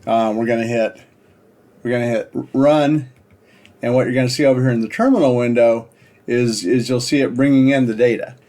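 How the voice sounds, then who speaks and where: Man giving a halting, informal tech demo. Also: mid-range voice, fairly even intonation, warm tone. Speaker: presenter demonstrating a software project. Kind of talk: casual screen-share tech demo.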